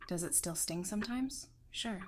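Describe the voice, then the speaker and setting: A woman playing a composed, tough-minded therapist in an audio drama, speaking in a measured, dry, self-assured way. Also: medium pitch, animated, background noise, close to an everyday voice. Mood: firm.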